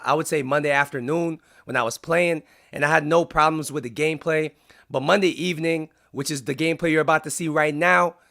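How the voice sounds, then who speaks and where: Sick raspy gamer guy, kinda high-pitched, sharp bright tone, lots of ums. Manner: casual and chatty, with frequent "um" fillers. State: under the weather, raspy. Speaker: YouTuber. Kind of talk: gaming commentary.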